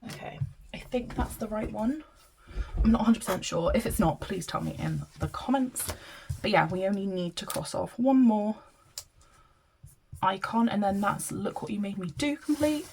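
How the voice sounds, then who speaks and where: A woman's voice: mid-range voice, expressive, normal speed, gravelly, darkish tone, slight background noise, rough recording. A British woman with a blocked-up nose from hay fever, chatting casually and counting money aloud in her budgeting vlog. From Britain. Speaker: budgeting vlogger. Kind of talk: casual chat while counting cash aloud.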